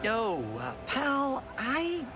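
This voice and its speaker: A man voicing a young, plucky, furry alien hero in a video game, casual and energetic. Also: very high-pitched, extremely slow, over loud music, exaggerated voice. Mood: touched, hesitant. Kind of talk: animated video game acting.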